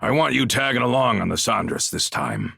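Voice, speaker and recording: An actor playing a brash, blunt Norman warrior chief in a video game, gravelly and husky, with a gruff, folksy, commanding way of talking. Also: mid-range voice, wildly swooping pitch, fairly quick, bright, forceful tone, no room sound, studio quality, exaggerated voice. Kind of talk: video game cutscene acting.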